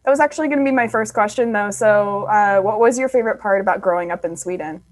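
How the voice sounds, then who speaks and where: A woman's voice: mid-range voice, quick pace, no echo. A woman hosting an interview over a video call, upbeat and friendly, with short affirmations.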